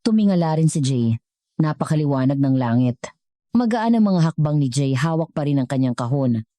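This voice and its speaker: Low, mellow woman storyteller, closely recorded. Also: lively intonation, moderate pace, no echo, clean studio sound. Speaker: storyteller and channel narrator. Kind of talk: scripted dramatic story narration.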